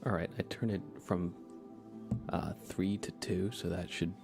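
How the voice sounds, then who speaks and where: A man doing a soft-spoken ASMR live stream, chatting to viewers in a low-key way and now and then slipping into whispers. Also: steady tone, unhurried, creaky. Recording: loud game music behind the voice. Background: video game music.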